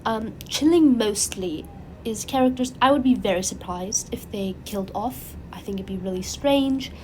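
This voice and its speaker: A woman with a cold, her voice raspy and congested, chatting casually and rambling animatedly as the host of her own channel. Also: mid-range voice, normal speed, a little hiss or hum, rough recording. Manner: rambling, animated, frequent fillers and restarts.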